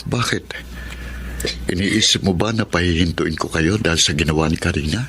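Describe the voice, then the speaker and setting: A man playing a patient, caring eldest brother in a radio drama, with an earnest, gentle delivery. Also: low voice, normal speed, background noise, close to an everyday voice. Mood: subdued, reassuring. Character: self-sacrificing eldest brother, tricycle driver, patient and caring. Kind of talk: Filipino radio drama acting.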